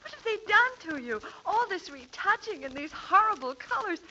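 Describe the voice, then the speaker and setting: A woman playing an affectionate, candid sweetheart in vintage radio comedy, speaking in a teasing, expressive manner. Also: very high voice, moderate pace, exaggerated voice. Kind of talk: 1940s-50s American radio comedy acting. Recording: noisy, muffled old radio recording.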